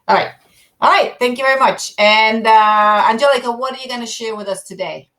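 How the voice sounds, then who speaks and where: A woman's voice: a little high, brisk, a bit bright. Upbeat woman hosting a live show. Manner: upbeat, interjects, laughs often. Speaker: show host. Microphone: video call.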